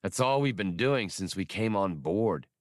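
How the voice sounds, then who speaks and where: Man acting out grumbling space pilot, restless gambler, gruff, lowish voice, audiobook. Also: lively intonation, measured pace, no echo, clean studio sound.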